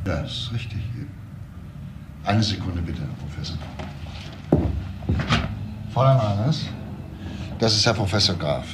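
Low-voiced, courteous police detective. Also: male voice, lively intonation, background noise. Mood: calm, measured. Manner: measured, polite, hesitant at times. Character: courteous, probing male police homicide detective. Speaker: actor. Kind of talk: German TV crime drama dialogue.

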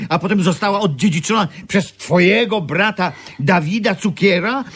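A wounded, self-important workshop owner in a radio drama. His voice sits high for a man and has a rasp. He declaims stubbornly and indignantly. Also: a bit bright, heavily acted. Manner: pompous, declamatory. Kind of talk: theatrical radio drama acting.

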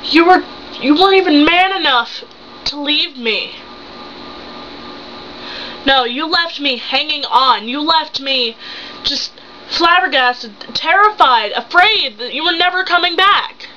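A seventeen-year-old young woman acting a betrayed, hurt and angry wife and mother in an improvised dramatic monologue, accusing with halting, emphatic phrases. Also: high-pitched, animated, pushed and bright, pitched above the performer's own voice, full character voice. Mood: bitter, accusing. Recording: muffled home recording with hum.

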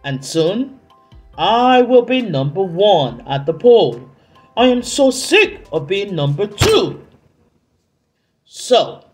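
A woman voicing a tyrannical male head elf who barks stern, emphatic orders in a children's storybook. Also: mid-range voice. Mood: domineering, fed up. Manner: stern, emphatic, drawn-out commands.

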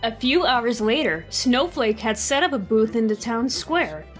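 A woman narrates a fairy-tale audio drama in a calm, even way. Her voice sits a little high, with faint music underneath. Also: measured pace. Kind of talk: storybook narration.

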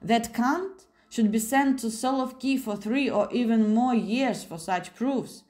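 A woman's voice: fairly high pitch, measured pace, darkish tone, pitched above the performer's own voice, lightly acted. A woman voicing a suspicious, hot-headed male poet with a blunt, irritable manner in an audiobook. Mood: outburst.

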